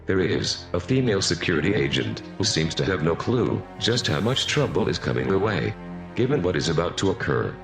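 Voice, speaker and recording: A man's voice: sits low, big pitch swings, brisk, creaky, reverberant, over music, full character voice. A man narrating a scripted drama series, recapping in a storyteller's role.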